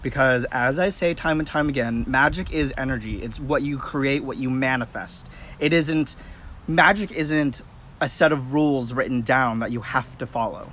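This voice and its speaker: A man's voice: mid-range voice, moderate pace, fairly bright tone, slight background noise. A man in his late twenties chatting casually and informally to viewers on a livestream. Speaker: livestream host, practitioner of folk magic.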